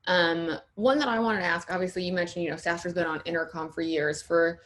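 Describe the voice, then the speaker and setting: Low-voiced woman moderating questions. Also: steady tone, a little fast. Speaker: session moderator. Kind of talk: casual Q&A moderation.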